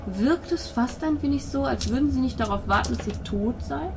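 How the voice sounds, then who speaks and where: A woman's voice: high-pitched, moderate pace. A woman narrating a tabletop role-playing game as its game master. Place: room with a tabletop gaming group. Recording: clearly noisy room recording.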